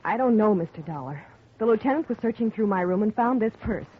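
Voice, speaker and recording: A woman's voice: medium pitch, animated, fast, slight background noise, natural voice. An actress playing an earnest young woman accused of murder in a 1950s radio drama. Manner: earnest, pleading. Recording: dull old radio broadcast.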